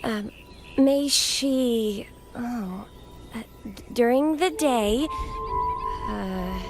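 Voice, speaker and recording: A woman voicing a gentle, earnest young acolyte in a video game, speaking sweetly and carefully with some hesitation. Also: on the high side, very slow, soft background music, poor audio. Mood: careful, hesitant.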